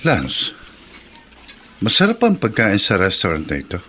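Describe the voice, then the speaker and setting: A man's voice: lowish voice, wildly swooping pitch, moderate pace, over music. An actor playing a father of grown or growing children in a radio drama. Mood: conversational. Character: widowed father out dining with his children. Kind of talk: Tagalog radio drama acting.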